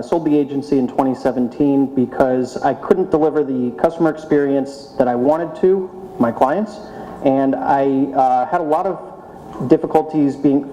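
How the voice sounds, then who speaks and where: A man's voice: mid-range voice, dark tone, muffled recording. A man speaking as a founder on a conference panel, earnest and hesitant, with many fillers. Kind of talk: panel talk. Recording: reverberant hall.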